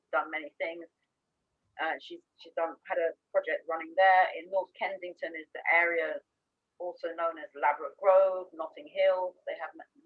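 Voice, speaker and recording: A woman's voice: lowish voice, dull audio. A woman in her fifties, originally from Trinidad, telling stories in an earnest, reflective way over a video call. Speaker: radio host and guest.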